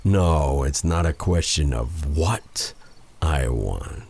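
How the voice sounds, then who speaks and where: A vengeful dark sorcerer for a fantasy audio roleplay. His voice is extremely deep and gravelly with creak. He draws out his words very slowly, silky and taunting, with purred menace. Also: full character voice. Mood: smug, teasing. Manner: taunting, theatrical, drawn-out delivery with purred endearments.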